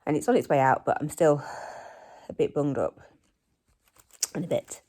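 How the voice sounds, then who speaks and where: A woman in her forties, hoarse from a cold, chatting casually in a home vlog recorded on her phone, rambling with plenty of ums and likes. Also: low-pitched, moderate pace, mellow. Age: 40s. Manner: rambling, self-deprecating, many ums and likes. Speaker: vlogger. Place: living room at home. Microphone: phone camera.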